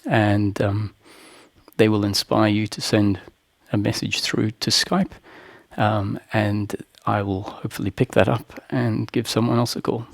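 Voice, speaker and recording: A man with a creaky voice hosting a live online question-and-answer talk, speaking in a halting, deliberate way. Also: lowish voice, flat delivery, no room sound. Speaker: host, spiritual teacher.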